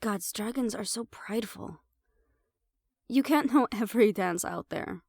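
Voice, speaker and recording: A woman's voice: fairly high pitch, unhurried, gravelly, a little mellow, dry recording, studio quality. A woman playing a sly, defiant half-banshee siren in an audio roleplay, sarcastic and playful as she mocks the listener. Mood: skeptical, teasing.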